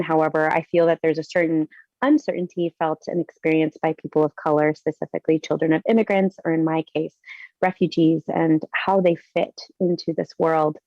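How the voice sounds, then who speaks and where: A woman's voice: low-pitched, darkish tone, no room sound, lo-fi sound. A Canadian woman in her thirties giving an earnest, reflective personal presentation over a video call, with some fillers.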